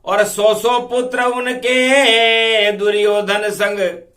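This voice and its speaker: Male devotional preacher, high bright voice, unhurried delivery that moves between sung rhyming verse and spoken explanation. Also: little pitch movement, faint music behind. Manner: declamatory, drawn-out verse lines broken by quick asides.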